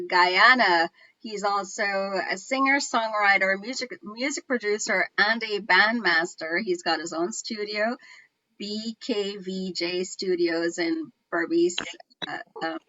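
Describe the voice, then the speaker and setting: A woman's voice: mid-range voice, bright, forceful tone, no room sound, muffled recording. A woman hosting a casual online chat, introducing guests and chatting in a friendly way over a video call. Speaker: show host.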